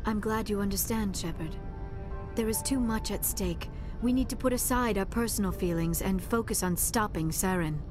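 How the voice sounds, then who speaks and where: A woman's voice: mid-range voice, moderate pace, music in the background, lightly acted. A woman playing a young female alien scholar in a video game, earnest and reserved, with formal, precise speech. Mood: tender, resigned. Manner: formal, precise wording, avoids contractions. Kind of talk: video game dialogue acting.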